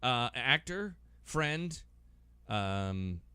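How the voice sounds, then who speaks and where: A man's voice: medium pitch, big pitch swings, very slow, bright, forceful tone, clear, resonant tone, no echo. An American man in his forties chatting casually and jokily as a podcast host, often rambling and interrupting himself. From Massachusetts, United States. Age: 40s. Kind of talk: casual, joking podcast chat.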